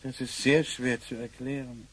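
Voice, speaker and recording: A man's voice: mid-range voice, flat delivery, moderate pace, a bit bright, a little hiss or hum, natural voice, low-quality recording. A man playing an anxious, kindly grandfather from the future, flustered and polite, in a radio play. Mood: apologetic.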